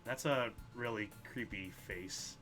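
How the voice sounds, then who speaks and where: A man hosting a video game playthrough, reading dialogue aloud in an animated way, stumbling over words and adding his own side comments. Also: lowish voice, slow pace, music in the background. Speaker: let's play host.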